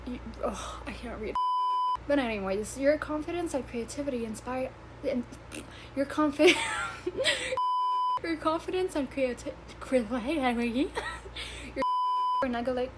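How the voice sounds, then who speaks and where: A woman's voice: sits high, sing-song, takes it slowly, pauses often, heavy background noise. A woman in her early twenties, chatting casually and giggling on her vlog, swearing and stumbling over words in English, her third language. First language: not English; English is her third language. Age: 20s. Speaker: vlogger. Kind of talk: casual rambling vlog chat.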